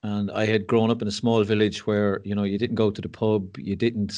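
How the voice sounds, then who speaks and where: A man's voice: fairly low pitch, level tone, fairly quick, a little mellow, lo-fi sound. An Irish man speaking as a podcast guest, telling his story candidly with frank, self-deprecating humour and lots of fillers and restarts. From Ireland.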